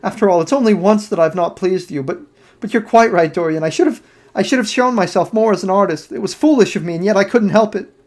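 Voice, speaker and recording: A woman's voice: medium pitch, quick pace, a little mellow, pitched above the performer's own voice, lightly acted. A man reading aloud, voicing a heartbroken young actress who pleads desperately and tearfully with her lover. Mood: pleading.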